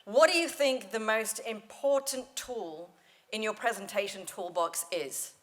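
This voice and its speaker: A woman speaking coach leading a conference panel, energetic and storytelling, laughing often. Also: mid-range voice, unhurried. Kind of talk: lively panel talk. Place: conference hall stage.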